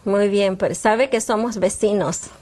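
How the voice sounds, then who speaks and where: A woman's voice: medium pitch, fairly even intonation, a little slow, a little mellow. A woman with a Panamanian Spanish accent, hosting and interviewing a guest on a health program. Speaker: host.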